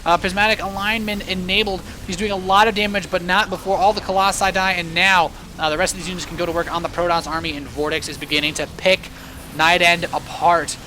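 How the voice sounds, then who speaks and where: A man giving live, animated and joking play-by-play commentary on a video game match as an esports caster. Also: high-pitched, a little fast, a little hiss or hum.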